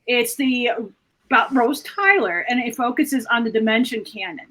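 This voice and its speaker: A woman's voice: fairly high pitch, moderate pace, a bit bright, low-quality recording. A woman speaking as a panelist, casually explaining and giving recommendations to fellow fans.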